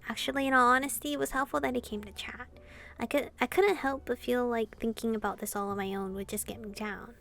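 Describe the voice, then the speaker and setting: A woman's voice: on the high side, brisk, creaky. A woman reading a visual novel aloud expressively and commenting casually as she plays. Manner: animated reading, reacting informally to the story.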